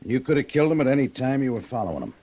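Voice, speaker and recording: An actor playing a skeptical frontier army major with an American accent, dry and questioning, in an old-time radio drama. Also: male voice, mid-range voice, sing-song, racing, warm tone, dry recording. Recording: dull vintage radio recording.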